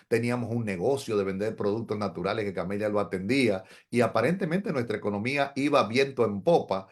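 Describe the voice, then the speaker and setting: A middle-aged man, a pastor, telling his story in an interview in an earnest, reflective way. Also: fairly low pitch. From Dominican Republic. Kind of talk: interview testimony.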